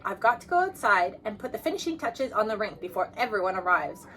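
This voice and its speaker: A woman's voice: mid-range voice, big pitch swings, fairly quick, a bit bright. Woman doing an upbeat dad from a kids book, hearty announcer style, noisy background. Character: welcoming, upbeat dad hosting family hockey game. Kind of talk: children's storybook dialogue.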